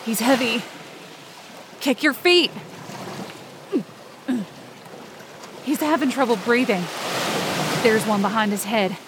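A high-pitched, urgent young woman played by an actress tells her story in a dramatic podcast. She is panicked and intense, ready to break into a cry, with noisy sound effects behind her. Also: unhurried, close to an everyday voice. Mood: tense, exhausted. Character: panicked young woman narrating a desperate lake rescue. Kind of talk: first-person dramatic narration in fiction podcast.

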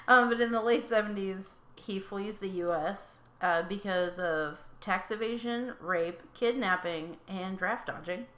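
A woman's voice: medium pitch, slow, fairly bright tone. Hesitant young woman in an echoey room. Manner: hesitant, many ums, reads out facts. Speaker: podcast co-host. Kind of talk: casual true-crime chat.

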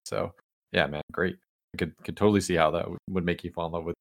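A man hosting a casual gaming podcast, relaxed and rambling, with some teasing jokes. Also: low-pitched, fast talker. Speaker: podcast host. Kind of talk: casual chat.

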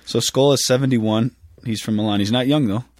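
A man's voice: mid-range voice, steady tone, a little fast, a little mellow, no room sound, clean studio sound. A man in his thirties co-hosting a podcast in casual chat, joking and laughing, stumbling over foreign names. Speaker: podcast co-host.

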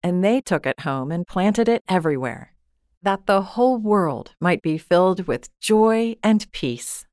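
A woman with a slightly low voice reads a gentle children's fable. She tells it in a calm, measured way, close to the mic in a dry, dead room. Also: dry recording. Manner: calm, measured storytelling with soft quoted asides. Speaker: storybook reader. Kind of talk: gentle scripted story narration.

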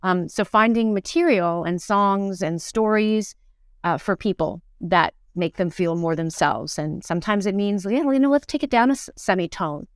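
A middle-aged woman speaks in a smooth, clear voice at a medium pitch. She talks casually, thinking aloud as an interview guest. The recording is close and dry, with very little room sound. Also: normal speed, no echo. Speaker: interview guest, musical theatre performer and teacher. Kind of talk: casual reflective interview talk.

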